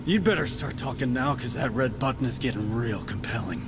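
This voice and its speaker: A man's voice: medium pitch, brisk, over music, close to an everyday voice. An actor playing a curt, driven sergeant and worried father in a video game. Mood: angry, threatening. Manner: curt, forceful. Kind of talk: video game cutscene acting.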